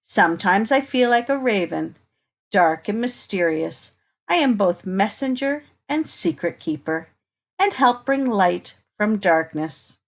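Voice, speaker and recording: A woman reading a children's picture book aloud in an expressive, unhurried way, pausing to put inviting questions to young listeners. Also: medium pitch.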